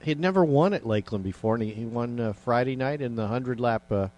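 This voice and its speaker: A man's voice: medium pitch, a little fast, darkish tone. A man hosting a casual radio talk show, rambling with many fillers and restarts.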